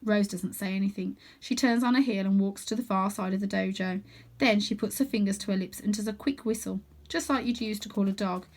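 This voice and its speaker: A woman narrating a children's storybook in an expressive read-aloud style. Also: medium pitch, fairly quick. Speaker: storybook reader.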